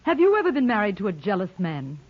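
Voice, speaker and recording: A woman's voice: medium pitch, wildly swooping pitch, quick pace. A composed, fed-up wife in a vintage radio play. She is businesslike and no-nonsense, and her voice comes through a dull, aged recording. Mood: firm, exasperated. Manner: crisp, no-nonsense. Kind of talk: old-time radio drama acting. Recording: muffled old radio recording.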